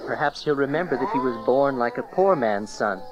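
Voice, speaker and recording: A man's voice: medium pitch, lively intonation, fairly quick, natural voice. Earnest, weary husband, played by a man. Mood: wistful. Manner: earnest, formal. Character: earnest, weary husband travelling with his pregnant wife. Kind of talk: dramatized audio Bible acting. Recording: dull cassette transfer.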